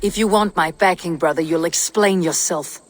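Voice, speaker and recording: A woman's voice: lowish voice, normal speed, close to an everyday voice. Woman acting a blunt Viking warrior, reverberant. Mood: sceptical. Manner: blunt, questioning. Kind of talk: video game cutscene acting. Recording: long hall reverb, music behind.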